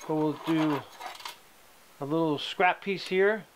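A man's voice: on the high side, takes it slowly, a little hiss or hum, rough recording. Plain-spoken man teaching welding. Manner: relaxed, plain-spoken, with ums and restarts. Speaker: hobby welder teaching a project. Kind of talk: casual workshop tutorial. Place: home metal workshop.

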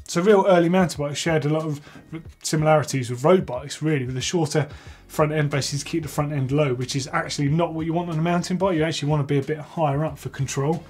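Enthusiastic male host, 30s, slightly high, husky, chatty. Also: fairly even intonation, fast talker, darkish tone. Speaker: host of a bike tech show. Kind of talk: casual reading and reacting to viewer entries. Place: workshop with tools on the wall.

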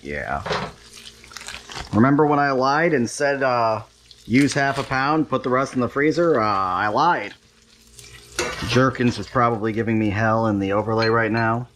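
A man's voice: medium pitch, unhurried, long pauses, noisy background. A man hosting a home cooking show, chatting casually and making wry, self-deprecating asides as he cooks. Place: home kitchen, stovetop.

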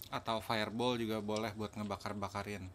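A man's voice: fairly low pitch, level tone, brisk, a bit bright. A man with an Indonesian accent giving casual commentary on a video game he is playing. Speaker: gaming video host. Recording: clear background noise, game ambience.

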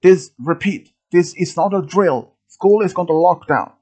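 A storyteller voicing an urgent school loudspeaker announcer sounding an alarm in a dramatic story. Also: mid-range voice, measured pace, highs cut off, natural voice.

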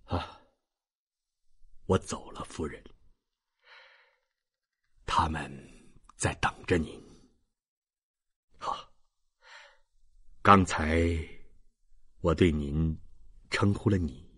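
A man voicing a sorrowful, resigned old father in an audiobook, husky and breathy, speaking haltingly as if muttering to himself. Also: extremely low pitch, bright, forceful tone, pitched below the performer's own voice, exaggerated voice. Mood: subdued farewell. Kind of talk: audiobook character reading.

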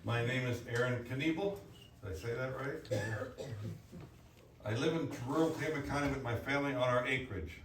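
A man's voice: medium pitch, moderate pace, a bit bright. Man reading aloud in an echoey room. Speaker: speaker reading written statements at a public hearing. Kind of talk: reading prepared statements aloud at a public meeting. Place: indoor public hearing room. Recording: echoey meeting room, distant mic, faint noise.

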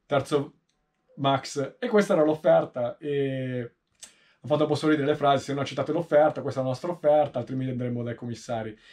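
A man in his thirties from Italy giving informal, explanatory race commentary in a measured way, often pausing mid-sentence. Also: medium pitch, a little mellow. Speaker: motorsport video host. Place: small indoor room, seated in a sim racing seat.

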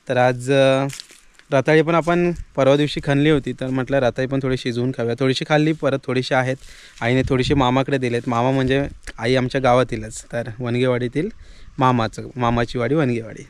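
A man in his thirties from the Konkan coast with a Konkani Marathi accent, chatting casually to viewers while holding a camera at arm's length outdoors in a village. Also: mid-range voice. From Konkan, Maharashtra, India. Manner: relaxed, chatty, addresses viewers directly. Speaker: village vlogger. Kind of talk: casual vlog narration to camera. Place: outdoors in a rural village yard. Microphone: handheld camera at arm's length.

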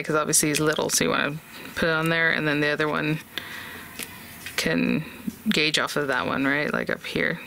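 Upbeat woman chatting through a craft demo, lowish voice, bright and forceful, faint hiss behind. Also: creaky. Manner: chatty, upbeat, thinking aloud. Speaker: craft channel host. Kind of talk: casual craft tutorial. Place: indoor craft desk. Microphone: overhead camera on desk, voice off-camera.

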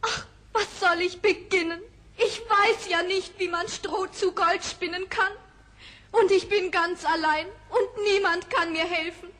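A woman's voice: very high voice, steady tone, a little slow, bright, forceful tone, full character voice. A woman playing a young, helpless miller's daughter, plaintive and sighing, in an old fairy-tale radio play. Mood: tearful despair. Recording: faintly noisy old record.

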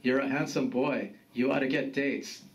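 A man's voice: mid-range voice, steady tone, moderate pace, lo-fi sound, natural voice. A man voicing a grumpy, cynical dead grandfather in a story, blunt and needling. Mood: weary, scolding. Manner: blunt, scolding, needling with questions. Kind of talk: storytelling character voice. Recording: distant mic with background noise.

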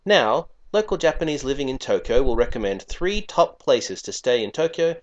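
A man's voice: medium pitch, very animated, moderate pace, muffled recording. A man narrating a travel promotion in an upbeat, persuasive scripted voice-over.

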